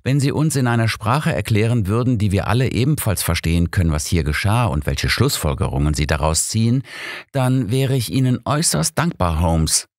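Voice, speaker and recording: Testy proper gentleman sidekick done by a male narrator, reproving, slightly pushed-up pitch, dry sound. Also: expressive, fairly quick, no room sound. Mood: irritated. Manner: testy, formal. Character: irritated male friend of the detective, reproving.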